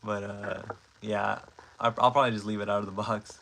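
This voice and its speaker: Twenties guy rambling on a livestream, lowish gravelly voice, lots of likes and ums, bit of hiss behind. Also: level tone, brisk, a bit bright. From Mexico. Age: 20s. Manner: rambling, filler-heavy, self-correcting. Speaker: stop-motion creator hosting a livestream. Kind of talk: casual livestream chat, reading comments.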